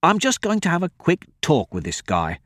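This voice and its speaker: A man's voice: a little high, huge pitch swings, moderate pace, no echo, studio quality, voice pushed up. Guy doing a friendly hopeful hero type for an audiobook, earnest, persuasive, voice bounces around a lot. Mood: upbeat, persuasive. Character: good-natured, optimistic male adventurer, earnest and helpful.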